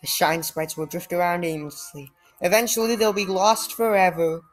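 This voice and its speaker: A woman's voice: lowish voice, normal speed, faint music behind, natural voice, poor audio. A woman voicing a scheming male wizard henchman, reading the game's dialogue plainly, like a report. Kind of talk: reading video game dialogue aloud.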